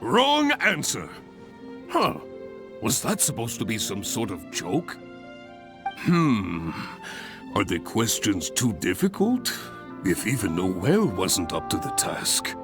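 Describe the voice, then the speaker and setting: An actor playing a hearty, encouraging guild examiner in a video game, with a jovial, theatrical manner. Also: male voice, lowish voice, huge pitch swings, takes it slowly, long pauses, over music, exaggerated voice. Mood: let down, consoling. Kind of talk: video game character acting.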